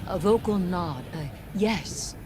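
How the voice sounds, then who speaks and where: An actress playing a composed, dryly curious woman scholar in a video game, speaking in a measured, deliberate, pensive way. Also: fairly low pitch, expressive. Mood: contemplative. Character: composed scholarly woman decrypting alien messages, dry and curious. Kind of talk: scripted game cutscene narration. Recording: clear background noise.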